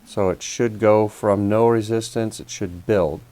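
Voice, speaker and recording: Male DIY host, lower-pitched voice, methodical and casual, talking viewers through a hands-on fix. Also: steady tone, measured pace, mellow. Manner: methodical, step-by-step explaining. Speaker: repair video host. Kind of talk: casual hands-on repair walkthrough.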